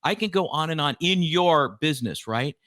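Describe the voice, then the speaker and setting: Guy hosting a podcast, kinda high voice, gushing and emphatic, dry close sound. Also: animated, normal speed, fairly bright tone, no echo. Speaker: podcast host, interviewer. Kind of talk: conversational interview.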